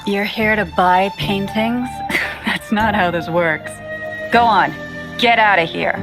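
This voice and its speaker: An actress voicing a gruff, streetwise exile in a video game, with a blunt, offhand manner. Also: female voice, medium pitch, takes it slowly, music in the background. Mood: brusque, suspicious. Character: gruff, streetwise woman exile with shady dealings. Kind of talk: English video game voice acting.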